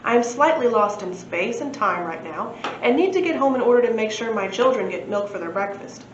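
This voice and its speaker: A woman's voice: on the high side, lively intonation, brisk, close to an everyday voice. A woman reading a storybook, voicing a bewildered, polite father lost in time, earnest, conversational and questioning. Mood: puzzled, polite. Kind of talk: storybook read-aloud. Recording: echoey room, muffled, faint noise.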